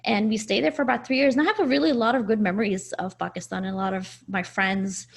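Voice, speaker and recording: A woman in her forties, born in Afghanistan and raised speaking Farsi first, recounts her family's past in a candid, conversational way. Her mid-range voice has a gravelly texture, and her talk is full of fillers and self-corrections. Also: medium pitch, steady tone, fast. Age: 40s. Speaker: guest sharing her life story. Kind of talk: personal storytelling interview.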